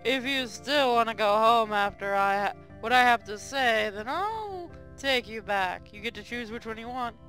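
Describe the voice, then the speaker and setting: A woman's voice: fairly high pitch, lively intonation, moderate pace, few pauses, fairly bright tone, faint music behind. A woman playing an earnest space navy officer in a visual novel read-aloud.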